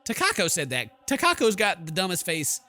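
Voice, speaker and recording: A man's voice: high voice, wildly swooping pitch, a little fast, sharp tone, no room sound. A young man hosting a gameplay video, reading story text aloud theatrically and throwing in jokey asides. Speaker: gaming video host. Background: game music.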